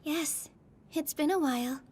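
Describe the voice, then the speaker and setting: A sweetly sinister young anime villainess. Her voice is high and mellow, coy on the surface and coldly manipulative underneath. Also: a little slow, slight background noise. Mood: coy, menacing. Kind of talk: dramatic anime dub acting.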